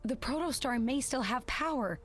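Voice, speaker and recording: An earnest teenage alien girl from an animated game. Her voice sits a bit high and she speaks thoughtfully, with dry teasing. Music runs underneath. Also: normal speed. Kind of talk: animated video game acting.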